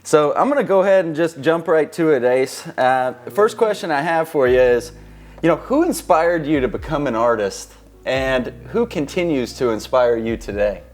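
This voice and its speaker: A man's voice: on the high side, lively intonation, moderate pace. A man hosting an interview podcast, friendly and affirming, chiming in with short agreements. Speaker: podcast host.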